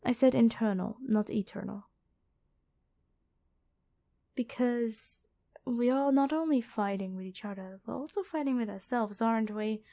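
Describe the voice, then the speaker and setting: A woman playing a gentle, dutiful angel medic in an ASMR roleplay, speaking soothingly and caringly to one listener. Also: a little high, a little slow, vocal fry, long pauses. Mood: weary, sincere.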